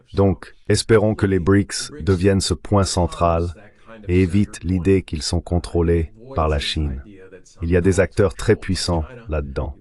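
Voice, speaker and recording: A man with a deep, low voice delivers a voice-over translation. The style is that of spoken interview commentary relayed by an interpreter. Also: a little mellow.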